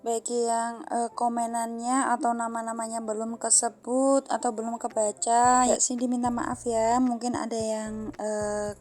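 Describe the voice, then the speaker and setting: A woman's voice: fairly high pitch, flat delivery, unhurried, a little mellow, faint music behind. A woman from Central Java with a Javanese accent, chatting casually to viewers as a housewife vlogger while washing dishes at home. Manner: chatty, many fillers, often says "friends". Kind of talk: casual vlog narration while doing chores. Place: wooden house in a village.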